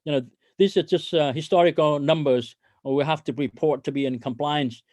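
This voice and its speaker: Halting male company executive, dry close sound. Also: on the high side, steady tone, a little mellow, no echo. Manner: halting, hesitant delivery with frequent stammered hesitations. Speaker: company CEO hosting an investor conference call. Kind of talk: corporate earnings call presentation.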